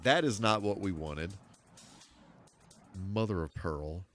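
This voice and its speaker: Low-voiced American man streaming games. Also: lively intonation, slow, a little hiss or hum. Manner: rambling, good-humored, mild exclamations. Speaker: live streamer. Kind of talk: casual gaming livestream commentary. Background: game music.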